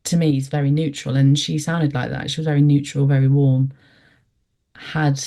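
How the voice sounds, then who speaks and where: Woman in her twenties, guest, low mellow voice, reflective and halting. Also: level tone. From West Yorkshire, England. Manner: reflective, hesitant, lots of fillers. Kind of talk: conversational storytelling interview.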